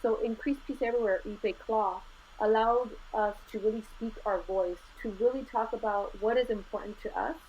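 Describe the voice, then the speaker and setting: A middle-aged woman from Los Angeles, a school administrator, speaking as an interview guest in an earnest, measured way, dropping in Spanish words. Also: mid-range voice, steady tone. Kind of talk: conversational interview. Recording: slightly dull lo-fi audio with faint noise.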